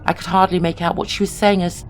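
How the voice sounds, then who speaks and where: A woman's voice: lowish voice, level tone, quick pace. Woman narrating horror over music. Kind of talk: scripted first-person horror narration.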